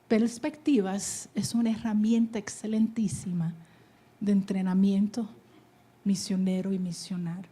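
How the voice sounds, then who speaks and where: A woman from New Jersey giving a preaching testimony at a conference, moving from loud exhortation to hushed whispers. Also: medium pitch, husky. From United States (New Jersey). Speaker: conference speaker. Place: conference hall stage.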